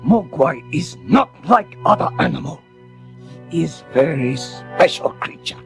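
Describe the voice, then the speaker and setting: A very old Chinese shopkeeper, firm and grave, in a storybook dramatization. He speaks very slowly in clipped, broken English, with a wide rise and fall in pitch. Music plays faintly underneath. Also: sing-song, creaky, full character voice. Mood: firm, solemn. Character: very old, firm, solemn Chinese shop owner.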